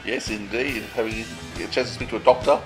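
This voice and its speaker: A man's voice: lowish voice, a little fast, a bit bright, soft background music. A man, talking casually as a podcast co-host.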